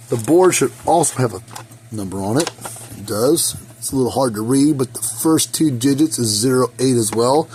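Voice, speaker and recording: A hoarse man of middle pitch talks through a repair job in his workshop, thinking aloud as he works with his hands. He rambles and restarts in a loose, casual way. A phone picks him up with a faint hiss of noise behind him. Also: darkish tone. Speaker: repair technician. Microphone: phone camera.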